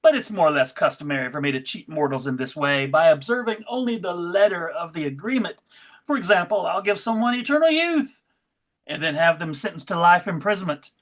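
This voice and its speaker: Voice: mid-range voice, lively intonation, a little fast, close to an everyday voice. An actor playing a pompous, slippery devil in a live comedy table read, given to indignation and bellowing. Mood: smug.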